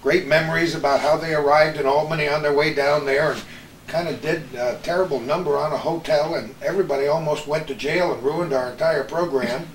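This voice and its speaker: A man's voice: fairly high pitch, steady tone, a little fast, reverberant, recorded from a distance, a little hiss or hum, low-quality recording. An American man, middle-aged or older, hosting a conversation and telling stories from memory. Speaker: host. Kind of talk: reminiscing conversational storytelling.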